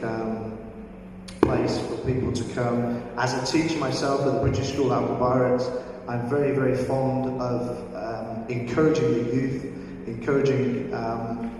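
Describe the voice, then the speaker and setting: A man's voice: medium pitch, distant mic, background noise. Hesitant man speaking in echoey hall. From United Kingdom. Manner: hesitant, many ums. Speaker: art teacher and exhibiting artist. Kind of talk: speech at exhibition opening. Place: large echoey gallery hall. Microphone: far from mic.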